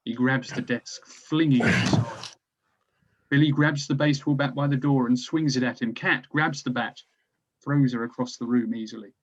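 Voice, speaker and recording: A man's voice: medium pitch, normal speed. A man narrating scripted stage directions at a table read. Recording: slightly dull, lo-fi recording.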